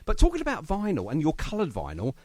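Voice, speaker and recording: A man's voice: a little high, wildly swooping pitch, quick pace, no room sound. A man hosting a radio show in casual interview chat, talking in a chatty, enthusiastic and effusive manner.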